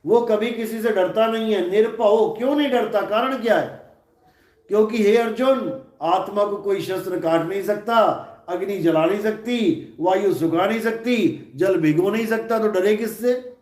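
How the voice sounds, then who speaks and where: Man preaching at a slow, measured pace, posing questions and answering them, echoey hall sound. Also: sits high, darkish tone. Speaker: spiritual teacher. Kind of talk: live satsang discourse. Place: large hall.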